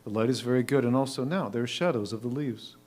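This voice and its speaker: A man acts out an older waiter with a medium-pitched voice. He is patient, reflective and a little lonely, sympathetic to others, in a reader's theatre style. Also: fast, a little mellow, lightly acted. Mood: wistful. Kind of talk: staged reader's theatre reading.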